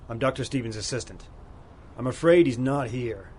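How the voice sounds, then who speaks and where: An actor playing a haughty, evasive laboratory assistant in 1930s-style radio drama, clipped and formal. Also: male voice, medium pitch, moderate pace, a little hiss or hum, natural voice. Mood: guarded.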